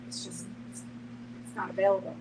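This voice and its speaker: A woman's voice: brisk, far from the mic. Woman moderating, off-mic with background noise. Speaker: moderator, nonprofit co-founder. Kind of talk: moderated discussion.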